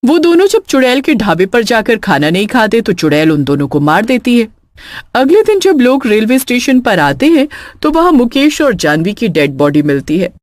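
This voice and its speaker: Casting: female horror-story narrator, fairly high and highly expressive, dramatic, closely and dryly recorded. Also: moderate pace, no room sound, clean studio sound.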